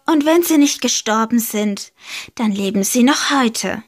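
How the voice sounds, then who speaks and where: Slow, high-voiced woman telling a fairy tale. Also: expressive. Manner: slow, deliberate, gently emphatic. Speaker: storyteller. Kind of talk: slow storybook narration.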